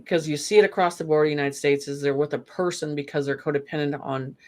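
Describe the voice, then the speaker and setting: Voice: mid-range voice, fairly even intonation, fast talker, a little mellow. A guest speaking earnestly in a casual chat, rambling with many fillers and restarts.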